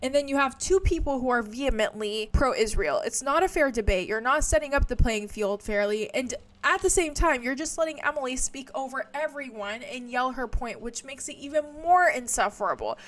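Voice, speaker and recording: A woman's voice: high voice, moderate pace, few pauses. Blunt, profane woman ranting on politics. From United States. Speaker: reaction commentator. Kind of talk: political reaction commentary.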